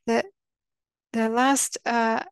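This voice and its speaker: A woman with a fairly high voice presents an organisation's work over slides. Her speech is measured and full of fillers and restarts, and the recording is dry and close. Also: flat delivery, smooth, no echo. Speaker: organisation representative.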